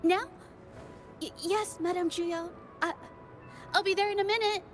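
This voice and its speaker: A woman's voice: very high-pitched, steady tone, a little slow. An actress voicing a shy young female painter in a game, earnest and stammering, with faint music underneath. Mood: flustered. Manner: stammering, hesitant. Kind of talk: game voice acting.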